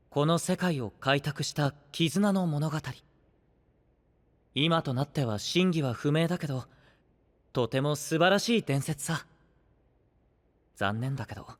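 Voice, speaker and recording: A male voice actor playing a gentle, composed young knight leader with grand ambitions, soft, polite and persuasive, in an anime-style voice drama. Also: a little high.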